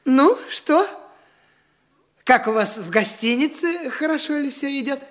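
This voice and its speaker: An actor playing a boastful, whining, penniless young dandy in an audio drama, theatrical and excitable, by turns wheedling and petulant. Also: male voice, extremely high pitch, unhurried, exaggerated voice. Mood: ingratiating.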